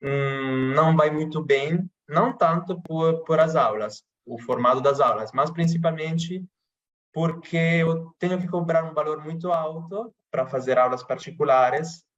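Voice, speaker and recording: A man from Italy speaking with an Italian accent, chatting casually in a podcast interview with many pauses and restarts. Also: on the high side, a bit bright, lo-fi sound. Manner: hesitant, many restarts and fillers. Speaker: interviewee, channel host.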